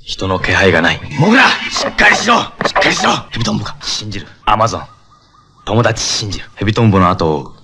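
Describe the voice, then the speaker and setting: Forceful, animated young jungle-raised hero. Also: male voice, lowish voice, slight background noise, heavily acted. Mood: tense, low, then urgent, forceful. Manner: terse, halting broken sentences, intense. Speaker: actor in a superhero TV series. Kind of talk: 1970s Japanese tokusatsu TV drama acting.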